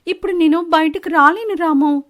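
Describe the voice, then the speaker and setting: A woman voicing an indignant, high-pitched wife bird. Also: normal speed, fairly bright tone. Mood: evasive. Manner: defensive, protesting. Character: Chinnu, deceitful married female bird, indignant and evasive. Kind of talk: animated Telugu moral-story dialogue.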